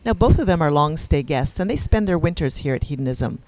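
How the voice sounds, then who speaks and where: A man's voice: a little high, lively intonation, brisk, a little mellow, poor audio. A man hosting a podcast in a chatty, upbeat way, introducing guests. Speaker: host. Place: resort in Jamaica.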